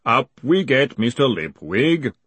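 Slow, booming cheerful golem. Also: male voice, very animated, fairly bright tone, slightly rough, no echo, heavily acted. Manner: formal, politely relentless. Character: huge cheerful booming male golem parole officer.

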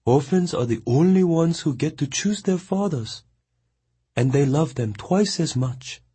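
A man doing a fierce, husky older woman. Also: fairly high pitch, expressive, measured pace, warm tone, pitched above the performer's own voice, exaggerated voice. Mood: soothing. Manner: commanding then soothing. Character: fierce older woman, commanding yet mothering.

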